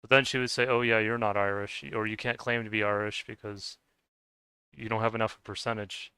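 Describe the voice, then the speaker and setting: A man's voice: on the low side, level tone, a little fast, pushed and bright, clear, resonant tone, no room sound. Hesitant man rambling over gameplay. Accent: Scottish-tinged. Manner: hesitant, many restarts and trailing off. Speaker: gaming video creator.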